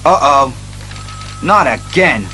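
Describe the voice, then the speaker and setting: An actor playing a serious, commanding masked detective hero, earnest and deliberate, in an audio drama. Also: male voice, on the high side, animated, sharp tone, soft background music, heavily acted. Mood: grave.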